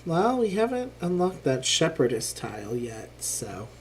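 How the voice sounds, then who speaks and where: Unhurried host with a lingering cough, chatty think-aloud game commentary, light room noise. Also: mid-range voice, very animated. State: lingering cough after illness. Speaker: live streamer. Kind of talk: casual live board game playthrough commentary.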